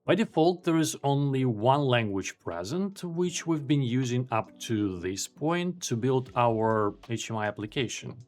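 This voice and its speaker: Male tutorial narrator, native Ukrainian speaker, calm and explanatory, mid-pitched voice, faint music bed. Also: unhurried, hardly any pauses. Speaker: software tutorial presenter. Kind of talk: screen-recorded software tutorial.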